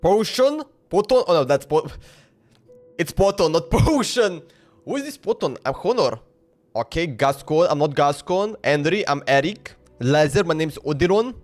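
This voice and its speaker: High-pitched man casually streaming a game. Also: big pitch swings, moderate pace, darkish tone, soft background music. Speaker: game livestreamer. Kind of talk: casual live game reaction commentary.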